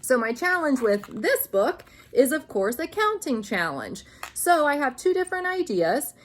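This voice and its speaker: A woman reading aloud to young children in an expressive, sing-song manner, like a teacher guiding them through a picture book. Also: high voice, measured pace. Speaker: children's story reader, teacher.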